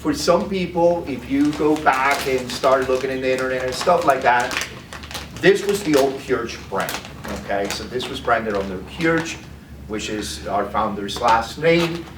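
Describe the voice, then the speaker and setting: A man's voice: medium pitch, lively intonation, unhurried. A man giving a live product demo as a sales presenter, often tagging his points with "okay?". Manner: tags lines with "okay?". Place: food trade demo room. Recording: echoey room, mic far off, noisy.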